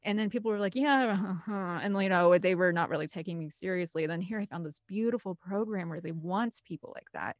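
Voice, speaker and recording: A woman's voice: fairly low pitch, fairly quick, few pauses, no room sound, clean studio sound. A woman in her thirties with a Midwestern American accent, chatting casually as an interview guest and telling personal stories in a rambling, colloquial way. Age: 30s. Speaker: podcast guest, film composer and director.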